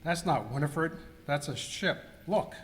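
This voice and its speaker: A man plays a dry, grumbling cowboy outlaw in a comic radio skit. The voice is fairly high, and the live amateur recording has hall reverb and faint noise. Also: expressive, unhurried, fairly bright tone. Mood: curious. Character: grumbling wisecracking male cowboy outlaw Bob.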